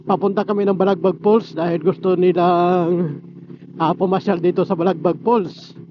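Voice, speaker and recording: Higher-voiced man, noisy recording. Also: fairly even intonation, normal speed, highs cut off. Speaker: motovlogger reviewing gear. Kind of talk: casual product review vlog. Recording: clearly noisy amateur recording.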